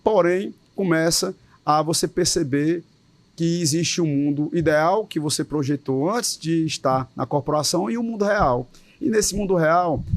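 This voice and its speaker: A man with a Cearense accent, a police association leader, argues earnestly in an interview. His voice sits somewhat high, and the sound is dry and close. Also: no room sound. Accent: Cearense Portuguese. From Ceará, Brazil. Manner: earnest, emphatic, with repeated words. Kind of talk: interview answers.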